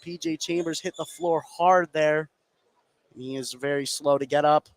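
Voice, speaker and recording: A man's voice: fairly high pitch, steady tone, moderate pace, no room sound. An American man doing live radio play-by-play of a basketball game, following the action in running detail. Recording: clear gym noise behind commentary. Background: basketball game ambience.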